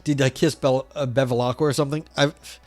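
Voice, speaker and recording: A man with a scratchy, hoarse voice on a livestream, reading philosophy passages aloud and then commenting casually, swearing and poking fun at himself. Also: medium pitch, steady tone, brisk, a little mellow, faint music behind. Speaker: streamer reading philosophy text.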